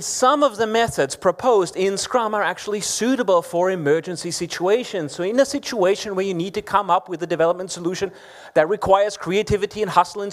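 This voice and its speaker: A man with a notably high voice presents a lightning talk. His delivery is wry and opinionated. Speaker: conference speaker. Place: conference hall.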